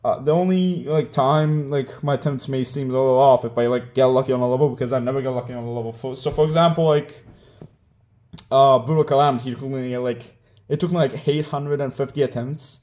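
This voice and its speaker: A man's voice: mid-range voice, fairly quick, a little mellow. A young man whose first language is Hebrew, giving a rambling, defensive apology in casual speech with many fillers. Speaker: online gamer.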